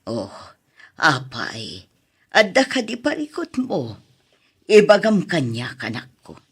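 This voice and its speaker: A woman playing a gentle, worried middle-aged mother in a drama, with a low husky voice and coaxing manner. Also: huge pitch swings, slow, exaggerated voice. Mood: concerned.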